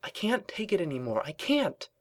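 A man's voice: sits high, big pitch swings, drawn-out words, fairly bright tone, no room sound, pitched above the performer's own voice, full character voice. A man voicing a paranoid, secretly rebellious female pony office worker in an urgent, melodramatic story reading.

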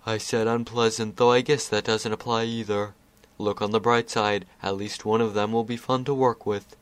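A man voicing a lazy, sardonic male chaos spirit with a calm, wry drawl. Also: fairly low pitch, normal speed, clean tone, dry recording, close to an everyday voice.

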